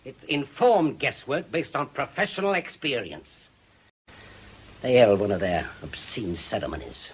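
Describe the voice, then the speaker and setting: An actor playing a bitter, obsessive middle-aged retired policeman in a radio drama, forceful and sardonic. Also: mid-range voice, animated, unhurried, slightly rough. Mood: heated, accusing.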